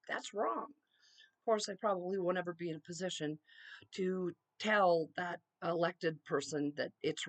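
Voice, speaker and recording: A woman, hoarse and raspy from allergies, talking casually and with strong opinions to her viewers in a vlog. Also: on the low side. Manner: chatty, opinionated, with frequent um and you know. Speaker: vlogger. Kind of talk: casual talk to camera.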